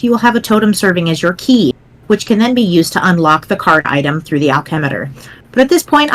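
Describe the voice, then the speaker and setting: A woman voicing a pedantic, precise young explainer in a dramatic reading, formal, wordy and measured. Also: on the low side, a bit bright, low-quality recording.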